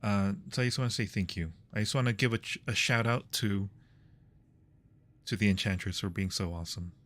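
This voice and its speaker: A man's voice: on the low side, a little fast, a bit bright. A man hosting a livestream, chatting casually with his viewers while playing games. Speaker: livestream host.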